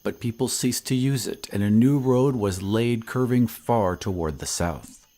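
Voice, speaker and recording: A man playing a somber surveyor who recounts an eerie old tale in measured, ominous audio drama narration with hushed phrase endings. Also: on the low side. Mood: ominous, brooding.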